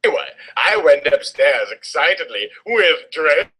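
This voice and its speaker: A man's voice: a little high, sing-song, normal speed, pushed and bright, breathy edge. A man giving a mock-dramatic reading of a story in an overwrought, theatrical manner. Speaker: reader of a fan fiction story.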